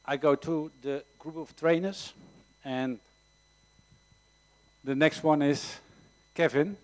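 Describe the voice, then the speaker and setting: A man in his fifties, a football academy coordinator, introducing himself at a press conference in a soft, halting way. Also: on the high side, steady tone, poor audio. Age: 50s.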